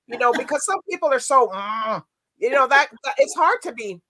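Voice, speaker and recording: A woman hosting a casual talk show, bubbly and rambling, quick to laugh. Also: sits high, big pitch swings, sharp tone, dry recording. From Canada, with Barbadian parents. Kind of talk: casual interview chat.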